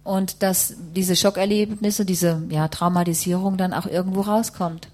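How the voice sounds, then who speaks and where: A woman's voice: fairly low pitch, fairly even intonation, moderate pace, darkish tone. A woman working as a live interpreter, rendering a testimony phrase by phrase and rewording as she goes.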